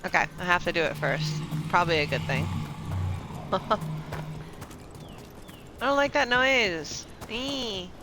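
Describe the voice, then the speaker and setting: A woman's voice: lowish voice, very animated, slow pace, pauses often, bright, forceful tone. A woman giving casual live gameplay commentary, murmuring as if talking to herself. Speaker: game streamer. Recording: clear background noise.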